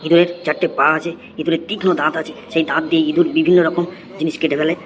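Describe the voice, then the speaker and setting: A man's voice: on the high side, level tone, very fast, background noise, lightly acted. A man voicing an eager schoolboy in a comedy cartoon, reciting essays by rote. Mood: proud, reciting.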